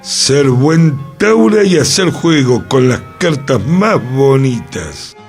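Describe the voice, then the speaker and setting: A man reading a 1920s Buenos Aires drinker who recites rhyming verse about tango slowly and with emphasis. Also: medium pitch, big pitch swings, soft background music, pitched above the performer's own voice. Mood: declaiming.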